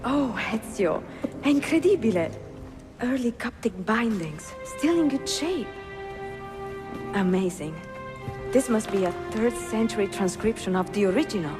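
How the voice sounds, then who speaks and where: An actress playing a bookish, spirited young Italian woman bookseller, friendly and expressive, with an Italian accent, in a dramatic game cutscene. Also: on the high side, measured pace, music in the background. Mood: excited.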